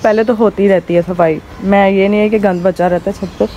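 A hoarse woman rambles through a casual vlog, her voice mellow and soft-edged. She films outdoors on a rooftop with a phone camera. Faint traffic and outdoor noise sit behind her. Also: mid-range voice, fairly even intonation, a little fast, rough recording. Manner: chatty, rambling, with many fillers. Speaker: vlogger.

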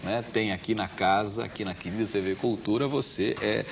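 A man's voice: fairly low pitch, steady tone, normal speed, creaky. A man hosting a TV talk show, introducing his guest and prompting her with short questions. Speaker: TV host. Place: TV studio set. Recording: dull lo-fi TV broadcast with faint hiss.

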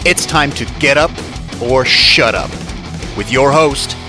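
A man reading a scripted podcast intro, slow and emphatic, over guitar music. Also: medium pitch. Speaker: show intro announcer.

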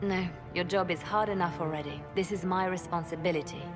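A composed female spymaster from a fantasy game, voiced by a woman, low-voiced, grave and clipped. Her lines sit in a long reverb over quiet orchestral music. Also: fairly even intonation, fairly quick, natural voice.

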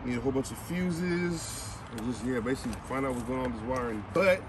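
Casual man over clear outdoor noise. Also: medium pitch, expressive, fast. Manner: informal, slangy. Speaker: host of car restoration videos. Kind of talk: casual chat while working. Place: outdoor car yard. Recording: loud outdoor car yard noise.

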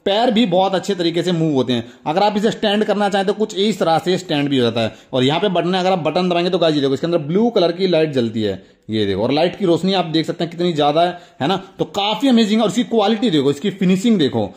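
High-pitched, fast-talking Indian man, excited. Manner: enthusiastic, repetitive, addressing viewers directly. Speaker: toy review channel host. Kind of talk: excited toy unboxing commentary.

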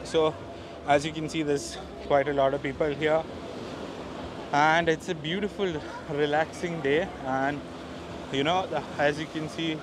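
Chatty male beach vlogger, narrow-voiced. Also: a little high. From India. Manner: chatty, addresses viewers as guys, many fillers. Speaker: travel vlogger. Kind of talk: casual walking tour vlog. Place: outdoors on a busy beach. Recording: outdoor noise behind the voice.

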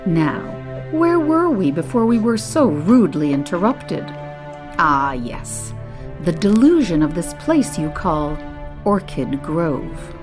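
A cold, imperious woman overseer in an audio drama. Her lowish voice swings widely as she delivers haughty threats. Faint music sits behind her. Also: big pitch swings. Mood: coldly commanding. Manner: haughty, menacing, deliberate.

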